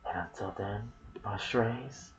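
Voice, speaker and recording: A soft-spoken man hosting an ASMR video about game lore, with a hushed delivery that slips into whispers. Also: on the low side, takes it slowly, highs cut off, slight background noise. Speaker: video host and gaming content creator.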